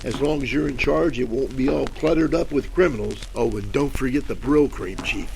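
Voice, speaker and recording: A man's voice: mid-range voice, moderate pace, gravelly, darkish tone, close to an everyday voice, low-quality recording. A man acting a cocky, wisecracking private detective in old-time radio style, with wry, boastful delivery. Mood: mocking.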